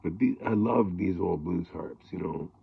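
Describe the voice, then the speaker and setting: Gravelly low-voiced man, tinny sound. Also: moderate pace. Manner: rambling, many fillers and throat clearing. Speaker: blues harmonica player. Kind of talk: casual talk to camera.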